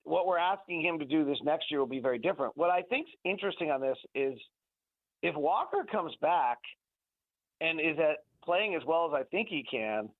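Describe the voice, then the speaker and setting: Guy analyst on sports radio over a thin phone line, higher-ish voice, chatty and emphatic. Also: expressive, normal speed, dry recording. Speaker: guest basketball analyst.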